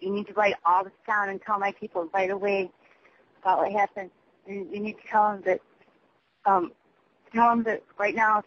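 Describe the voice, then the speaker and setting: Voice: medium pitch, a bit bright, a little hiss or hum. A person leaving a rambling, urgent voicemail over the phone, repetitive, emphatic and prone to swearing. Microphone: telephone. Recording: muffled, dull phone voicemail.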